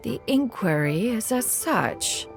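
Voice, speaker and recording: A woman's voice: fairly high pitch, sing-song, slow pace, gravelly, exaggerated voice. A woman voicing an ancient, aloof crane spirit in a video game, speaking in archaic, formal phrasing. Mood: awkward. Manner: archaic formal speech, refers to herself as one. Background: background music.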